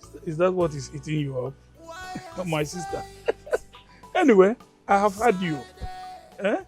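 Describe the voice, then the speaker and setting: A man, playing a calm village elder who reassures a worried mother. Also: high voice, soft background music. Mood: reassuring. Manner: slow, soothing, unhurried.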